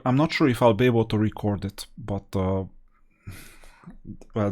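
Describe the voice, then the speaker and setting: A man's voice: on the low side, mellow. Man giving casual gameplay commentary. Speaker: host of a gaming strategy channel.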